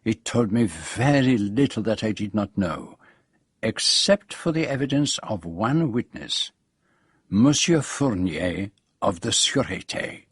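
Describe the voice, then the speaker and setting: An actor playing a famous foreign detective in a radio drama, with a French accent, precise, courteous, self-assured and dryly witty. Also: male voice, on the low side, lively intonation, slow, slightly rough, dry recording, studio quality, heavily acted. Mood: measured narration. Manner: precise, courteous, sprinkles speech with French phrases. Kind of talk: radio drama dialogue and narration.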